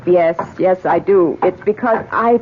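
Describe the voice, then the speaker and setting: An actress playing an anxious, guilt-ridden wife in a radio drama, speaking earnestly with faltering hesitation. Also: female voice, on the low side, unhurried, close to an everyday voice. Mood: anxious, guilty. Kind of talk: 1970s radio drama acting. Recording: dull, noisy old radio broadcast.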